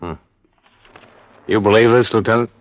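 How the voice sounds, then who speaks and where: An American actor playing a brisk, skeptical freelance insurance investigator in a 1950s radio detective drama. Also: male voice, on the low side, fairly even intonation, dry recording, close to an everyday voice. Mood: skeptical, determined. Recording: muffled vintage radio recording, dry studio.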